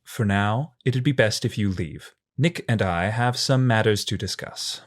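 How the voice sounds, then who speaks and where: A man playing a composed, businesslike vampire boss in an audio drama, measured and authoritative. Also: fairly low pitch. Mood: cool, commanding.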